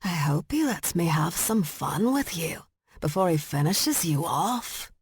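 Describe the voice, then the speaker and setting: A woman's voice: lowish voice, sing-song, measured pace, breathy edge, no echo, clean studio sound, exaggerated voice. A woman voicing a gloating, threatening Scottish kidnapper in an audiobook story. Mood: taunting contempt. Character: menacing Scottish woman, a gloating kidnapper tormenting her uncle.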